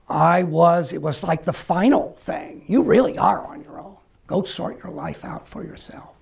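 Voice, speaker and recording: Gravelly, husky older American man. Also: high-pitched. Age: 60s. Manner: halting, reflective delivery with pauses. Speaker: academic speaker at a forum. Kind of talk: personal testimony talk, reflective storytelling.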